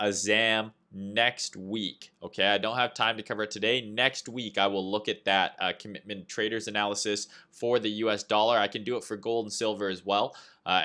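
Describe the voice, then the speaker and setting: A young Canadian man with a Canadian accent, chatting casually on a trading livestream. His voice is fairly low but bright and forward, and he speaks in loose, rambling sentences full of "uh" and "right?" as he answers viewers. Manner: loose and chatty, reads chat aloud, frequent "uh" and "right?". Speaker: livestream host, trading analyst. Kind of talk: casual livestream market commentary.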